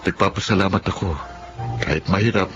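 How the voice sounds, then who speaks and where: Radio drama role: male actor as ashamed, proud young Filipino son, earnest and emotional, mid-pitched, muffled sound over prominent music. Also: fairly even intonation, fairly quick, natural voice. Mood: reflective, grateful. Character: ashamed, defiant young son hiding his family's poverty.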